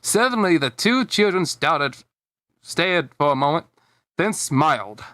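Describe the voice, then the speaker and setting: A man's voice: a little high, expressive, measured pace, sharp tone, no room sound, studio quality. A man reading fan fiction aloud casually, stumbling and breaking into joking, giggly asides. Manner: stumbling, giggly, mocking the text as he reads.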